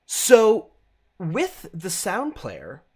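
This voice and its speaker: A man in his thirties presenting a software tutorial, explaining deliberately phrase by phrase, close to the mic. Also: sits high, huge pitch swings, slightly rough. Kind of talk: instructional screencast narration.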